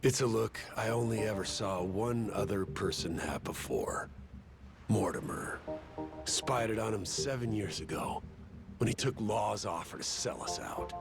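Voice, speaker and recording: A wary, middle-aged pirate crewman in a video game drama. He speaks gravely and plainly in a low, creaky voice, with music clearly behind him. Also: a little slow.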